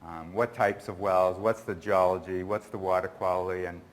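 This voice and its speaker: A man's voice: low-pitched, steady tone, moderate pace. A man speaking at a conference, answering an audience question in a rambling, earnest way, often restarting his sentences. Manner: rambling, self-correcting, earnest.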